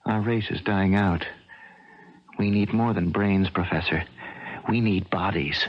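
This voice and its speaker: An actor playing an implacable alien emissary in a radio drama, speaking in a formal, measured way. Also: male voice, sits low. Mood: cold, insistent. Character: cold, implacable alien emissary from another dimension. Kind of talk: 1980 radio drama acting. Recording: muffled old radio broadcast.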